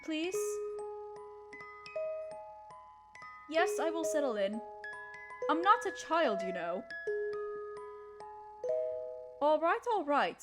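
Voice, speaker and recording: A woman playing a gentle, proper young noblewoman who speaks politely and coyly to her servant in an intimate roleplay audio drama. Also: high voice, sing-song, normal speed, over loud music. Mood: shy, teasing. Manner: polite, formal, period phrasing, coyly teasing.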